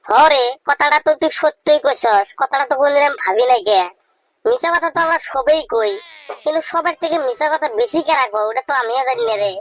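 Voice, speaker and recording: A man voicing a skeptical, needling young village friend in a comedy cartoon, with a rural Bangladeshi accent and pitched-up voice. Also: a little fast, a bit bright, low-quality recording. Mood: curious.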